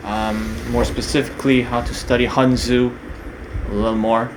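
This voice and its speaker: Young man from Korea, casual unscripted talk, lots of ums and restarts, even mid pitch, steady hum behind. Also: moderate pace. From Korea, raised partly in New Zealand. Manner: hesitant, many fillers and restarts. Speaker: video creator.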